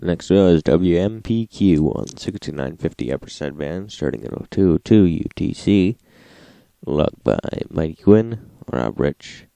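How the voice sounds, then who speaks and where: A man with an extremely deep, heavily creaky voice reads log entries as a host. His tone is dark and mellow, his delivery plain and list-like, and the sound is close and dry. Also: no echo. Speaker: host, radio log narrator. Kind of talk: scripted narration, reading logs.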